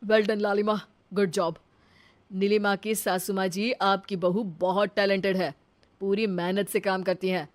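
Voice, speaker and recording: A woman's voice: medium pitch, little pitch movement, unhurried, natural voice. A woman voicing an exasperated but polite male office boss in a comic cartoon story, instructing the people around him. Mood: businesslike.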